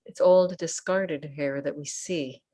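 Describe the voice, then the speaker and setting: Female meditation guide, low register, gentle delivery, short phrases with patient pauses. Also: steady tone. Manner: gentle, unhurried, pausing between short phrases. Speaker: Buddhist nun and meditation teacher. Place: online group session over video call.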